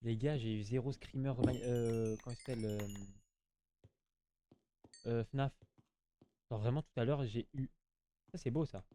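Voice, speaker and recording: A man's voice: mid-range voice, fairly even intonation, a little slow, long pauses, warm tone, slight background noise. A man giving casual, slangy gameplay commentary, chatting to his viewers and often repeating himself. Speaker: gaming YouTuber.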